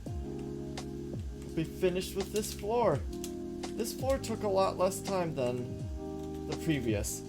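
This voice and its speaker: A man streaming a game, rambling casually, answering chat and muttering to himself as he plays. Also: medium pitch. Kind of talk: casual live-stream chat while playing. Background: background music.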